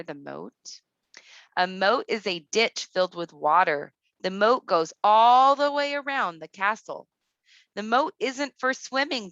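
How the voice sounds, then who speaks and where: A woman teacher reading aloud to kindergartners, speaking deliberately and instructively, with asides addressed directly to the children. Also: mid-range voice, sharp tone, no room sound, lo-fi sound.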